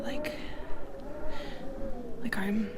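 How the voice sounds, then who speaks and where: Woman acting a bitter immortal female gorgon, audio drama, slow halting delivery, whispery menace, weary of life, clearly noisy ambient background. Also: on the high side. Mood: weary, anguished. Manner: halting, brooding, often dropping to a breathy whisper. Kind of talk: dramatic audio roleplay monologue.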